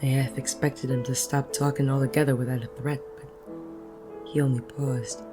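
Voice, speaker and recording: An actor playing a world-weary, conflicted male detective in a noir audio drama, deadpan and terse. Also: mid-range voice, normal speed, over music, lightly acted. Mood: brooding, weary. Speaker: narrator and lead actor. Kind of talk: noir audio drama acting with first-person narration.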